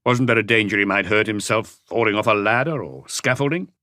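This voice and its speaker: A man voicing a calm police chief inspector, asking probing questions in a measured, unhurried way in an audiobook. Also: fairly low pitch, no echo, clean studio sound.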